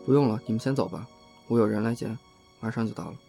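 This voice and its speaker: A man acting a polite, self-effacing young man in an audio drama, soft-spoken and courteous. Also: lowish voice, fast, creaky, soft background music. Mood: subdued.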